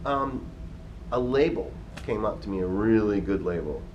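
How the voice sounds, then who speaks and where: A man's voice: lowish voice, lively intonation, moderate pace, darkish tone, lo-fi sound, slight background noise. A man chatting casually in an interview, easygoing and rambling, with lots of "you know" fillers. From United States. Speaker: guitarist being interviewed.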